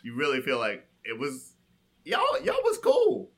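A man's voice: fairly high pitch, huge pitch swings, measured pace, vocal fry, breathy edge. A man in a casual, comic film discussion, slangy and sweary, acting out imagined reactions as he goes. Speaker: podcast co-host.

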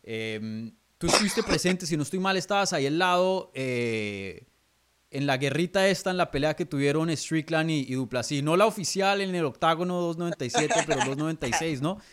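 A man's voice: a little high, lively intonation, normal speed, a bit bright, clean tone. A man hosting an interview, asking long, rambling questions with hesitant fillers. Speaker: interviewer, host.

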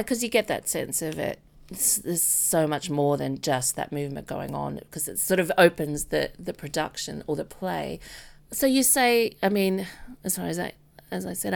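A woman hosting an arts interview podcast in a conversational, halting way, rephrasing her questions as she goes. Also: lowish voice, vocal fry.

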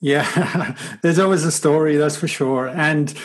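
A man's voice: a little high, unhurried. A man in his forties from Wales with a mild Welsh accent, a guest speaking reflectively in a casual interview. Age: 40s. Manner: reflective, self-deprecating, many restarts.

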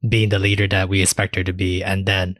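A man's voice: sits low, completely flat delivery, fairly quick, fairly bright tone, no echo. A man co-hosting a podcast, chatting casually in loose, colloquial phrasing. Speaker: podcast co-host. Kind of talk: casual chat.

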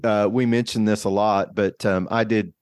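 Male host, relaxed and chatty, mid pitch, dry close sound. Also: moderate pace, clean tone, no echo. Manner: relaxed, chatty, swears casually. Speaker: host, former cruise ship worker. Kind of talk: casual interview chat.

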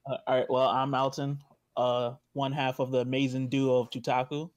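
A young man co-hosting a casual livestream chat, easygoing and quick to laugh along. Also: mid-range voice, little pitch movement, dry recording. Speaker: co-host.

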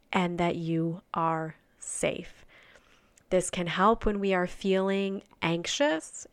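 Female meditation guide: very slow, soft and soothing delivery, smooth clear tone, dry close recording. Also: lowish voice, no room sound. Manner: soft, slow and soothing, trailing off into near-whispers.

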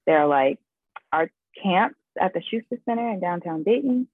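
A young woman, a guest actress, chatting in an interview and telling her story in an animated way, often laughing and saying "like". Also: fairly low pitch, moderate pace, slightly rough, no echo, phone-quality sound. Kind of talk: casual interview chat.

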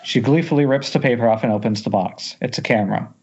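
A man's voice: mid-range voice, fast, a little mellow, breathy edge. A man reading scripted stage directions as narrator at an amateur table read. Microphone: Skype call. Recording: dull lo-fi internet call.

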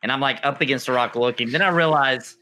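Streamer dude chatting loosely, super bright sharp voice, lots of you knows. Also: male voice, medium pitch, quick pace, slight background noise. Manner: relaxed, chatty, says "you know" a lot. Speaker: streamer, co-host. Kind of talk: casual gaming chat.

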